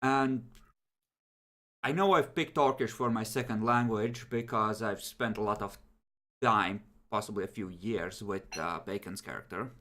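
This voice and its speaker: A man's voice: lowish voice, a little slow, bright, forceful tone. A man talking casually and wryly as a player building his character for a tabletop role-playing game, swearing now and then.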